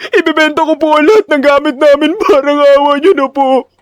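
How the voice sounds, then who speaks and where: A man's voice: extremely high pitch, a little fast, no room sound, pitched above the performer's own voice. A man voicing a desperate poor teenage son in an audio drama, with a tearful, imploring manner. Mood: tearful pleading.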